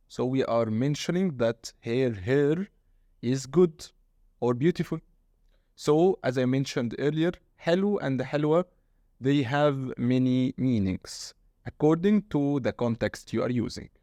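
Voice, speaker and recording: A man's voice: medium pitch, dark tone, no echo, studio quality. A man from Egypt with an Egyptian Arabic accent, a native Arabic speaker, teaching his dialect step by step and asking guiding questions. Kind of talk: casual language lesson.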